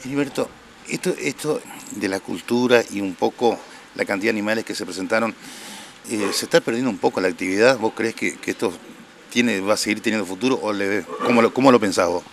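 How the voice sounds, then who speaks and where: A man's voice: lowish voice, brisk, a little hiss or hum. A man with an Argentine accent interviewing guests as a reporter for a rural TV programme. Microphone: handheld microphone.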